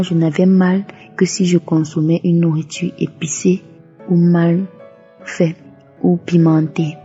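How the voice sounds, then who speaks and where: A woman's voice: low voice, dark tone. A devout woman giving a religious testimony and offering thanks. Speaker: testimony giver. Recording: muffled lo-fi audio over music. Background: background music.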